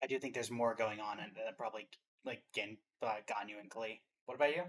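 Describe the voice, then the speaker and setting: A man's voice: on the low side, steady tone, fairly quick, a bit bright. A man giving casual reaction commentary as a co-host, sounding like an enthusiastic game fan.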